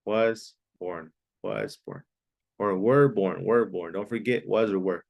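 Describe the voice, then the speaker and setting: Low-voiced patient English teacher man. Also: very animated, gravelly, darkish tone. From Mexico. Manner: patient, repeats key phrases slowly, switches to Spanish to explain. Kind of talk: online class teaching.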